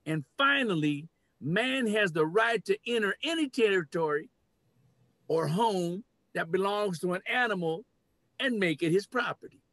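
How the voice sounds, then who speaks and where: Domineering leader of men, played by an older man, high-pitched and declamatory, dry sound, stage reading. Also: lively intonation, unhurried, a bit bright, no echo, exaggerated voice. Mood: commanding. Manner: declamatory, commanding. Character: domineering man who proclaims human rule over animals. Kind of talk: dramatic play reading. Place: cluttered home room, video call. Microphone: computer mic on video call.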